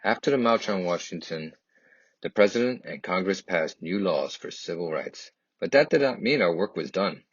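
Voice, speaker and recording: A man reads a children's picture book aloud in a husky voice, with expressive, emphatic and dramatic phrasing. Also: low voice, moderate pace. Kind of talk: expressive read-aloud storytelling. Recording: dull, lo-fi, echoey room.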